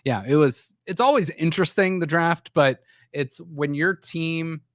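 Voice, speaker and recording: A man's voice: on the high side, measured pace, no room sound, studio quality. A man hosting a sports talk show, with a scratchy voice from a sore throat, rambling with frequent restarts. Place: small studio.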